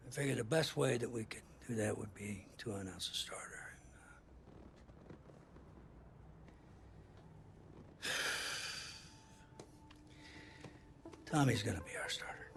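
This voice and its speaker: An actor playing an earnest, firm middle-aged football coach in a video game drama, speaking plainly and haltingly. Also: male voice, on the low side, creaky, a little hiss or hum. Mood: halting, solemn. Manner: halting, deliberate, plain-spoken.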